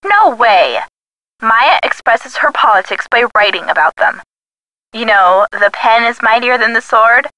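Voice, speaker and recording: A chatty young woman, the sleuth's witty pal in a mystery game. Her voice is bright and fairly high, swinging widely as she teases. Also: a little slow, dry recording, low-quality recording. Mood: animated. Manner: playful, teasing, expressive. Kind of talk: scripted video game dialogue.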